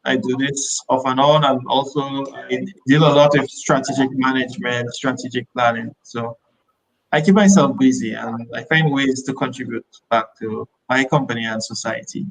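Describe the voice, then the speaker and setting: A man's voice: mid-range voice, fairly even intonation, normal speed, vocal fry, low-quality recording. A man introducing himself as a panelist in an online business discussion, formal in manner. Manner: formal, self-introducing speaker.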